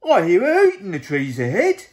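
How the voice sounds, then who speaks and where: A large storybook monster, played by a man, loud and slow-witted. It sounds hungry and gullible, with a lively rise and fall. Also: high-pitched, pitched above the performer's own voice. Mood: wary. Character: big clawed monster, hungry yet gullible.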